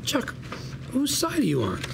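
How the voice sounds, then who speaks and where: A man's voice: extremely high pitch, wildly swooping pitch, measured pace, gravelly, heavy background noise, full character voice. An actor playing a scheming, sarcastic lawyer with a folksy salesman's patter at a script table read. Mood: sarcastic, indignant. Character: scheming, sarcastic younger brother, a slick fast-talking lawyer.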